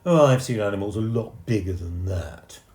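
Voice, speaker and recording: A man's voice: fairly low pitch, lively intonation, moderate pace, a little mellow, roomy sound, close to an everyday voice. A man voicing a boastful know-it-all boy who talks in a bragging, scornful way.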